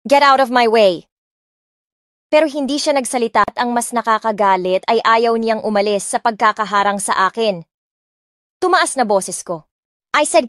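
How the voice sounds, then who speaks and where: A woman's voice: on the high side, no echo. A woman narrating a story in the first person as a spoiled, defiant rich young woman, reading expressively and mixing English lines into Tagalog. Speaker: audiobook narrator. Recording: dry close mic with soft music.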